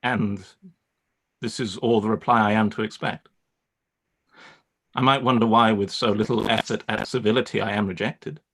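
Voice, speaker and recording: Man playing a low-voiced, haughty Regency gentleman. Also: steady tone, normal speed, gravelly, long pauses, low-quality recording. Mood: wounded pride. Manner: formal, haughty. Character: proud, stiff, ardent Regency gentleman. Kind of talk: radio play live reading.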